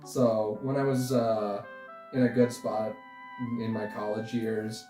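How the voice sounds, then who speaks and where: Young man, mellow tone, halting casual interview talk, off-mic, music behind. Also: fairly low pitch, little pitch movement. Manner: rambling, halting, chuckles often. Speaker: interview guest, online animation creator. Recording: roomy echo, distant mic, music behind.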